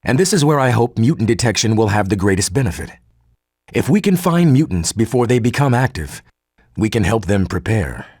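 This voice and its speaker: An actor playing a formal, articulate male geneticist recording an audio log for a game, measured and husky. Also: lowish voice, sing-song, darkish tone, no room sound, clean studio sound. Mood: earnest, hopeful. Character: earnest male geneticist turning cold and militant.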